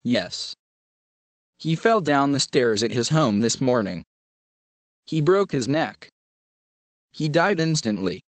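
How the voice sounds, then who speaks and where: A man voicing a formal male orphanage director who speaks hesitantly and gravely. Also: mid-range voice, lively intonation, slow, long pauses, no echo.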